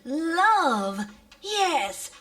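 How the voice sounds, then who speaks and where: A woman's voice: sits high, big pitch swings, pushed and bright, full character voice. A woman playing an earnest, flustered spaceship captain who tries to placate everyone in a sci-fi radio comedy. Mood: placating. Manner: placating, deliberate.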